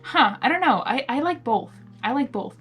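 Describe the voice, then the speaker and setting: Animated high-voiced woman reading a story. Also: moderate pace, soft background music. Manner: reacts spontaneously while reading, stumbles over words. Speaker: fan fiction reader, narrator. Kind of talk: informal storybook narration with casual asides.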